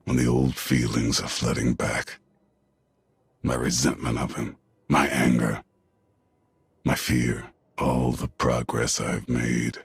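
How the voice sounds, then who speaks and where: An actor voicing a solemn, self-doubting reformed warrior in a video game, with a halting, grave delivery. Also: male voice, level tone, no room sound, exaggerated voice. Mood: troubled, fearful. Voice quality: very deep, husky. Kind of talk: video game cutscene acting.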